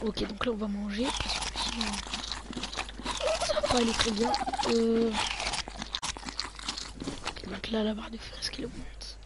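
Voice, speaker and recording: A man's voice: extremely high pitch, fairly even intonation, unhurried, long pauses. A male gamer talking viewers through his gameplay, often muttering half to himself between remarks. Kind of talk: let's play commentary. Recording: noisy home recording.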